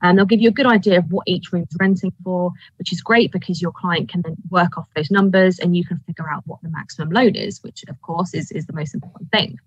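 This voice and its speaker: A woman presents an explanatory webinar. Her pitch sits a little low for a woman. The recording is very dry and a bit dull, with little top end. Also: steady tone, quick pace, few pauses, breathy edge, dry recording.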